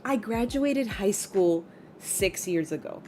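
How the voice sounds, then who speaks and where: A woman's voice: medium pitch, slow pace, a little hiss or hum. A woman in her mid-twenties chatting casually on a gaming livestream, reading chat and reacting aloud. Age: 20s. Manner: chatty, animated, reacts with gasps and laughs.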